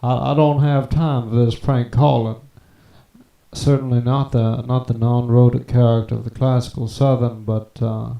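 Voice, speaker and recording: A man rambling on a live stream, stammering and halting, with wordy, formal phrasing. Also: mid-range voice, level tone, creaky, warm tone, reverberant, low-quality recording. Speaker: prank call stream host.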